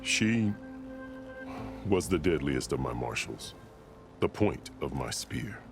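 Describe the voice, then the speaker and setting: A battle-scarred warrior chief with an exceptionally deep voice. He speaks formally and solemnly, like a ruler who values order, in dramatic video game dialogue. Music plays clearly behind him. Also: very animated, exaggerated voice. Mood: grave, recounting. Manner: formal, solemn, measured storytelling.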